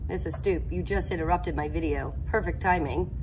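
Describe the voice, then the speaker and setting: A woman's voice: fairly low pitch, fairly quick, background noise. A woman in her thirties, a teacher, talking upbeat to the camera in a classroom and asking questions. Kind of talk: classroom video lesson. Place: school classroom, indoors. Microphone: phone camera at arm's length.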